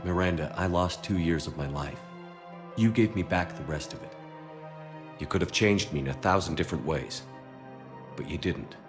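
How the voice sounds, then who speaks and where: A man playing a stern, steady space military commander in a video game, with measured, terse and authoritative delivery. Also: low voice, over music, natural voice. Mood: reassuring. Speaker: game protagonist. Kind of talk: cinematic video game acting.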